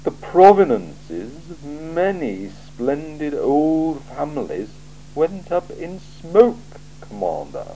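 A man voicing a cool, sardonic male city ruler in an audiobook, speaking in a slow, measured, dry and probing way. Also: mid-range voice, dark tone, slight background noise, pitched above the performer's own voice, poor audio. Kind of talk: audiobook character voice.

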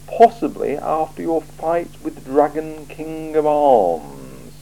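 A cool, sardonic male city ruler, put on by a man reading aloud. He speaks slowly and drily, weighing each word, in a mellow tone that rises and falls widely. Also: on the high side, wildly swooping pitch, gravelly, voice pushed up, full character voice, rough recording. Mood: dry. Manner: slow, measured, dry and probing. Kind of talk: audiobook character voice.

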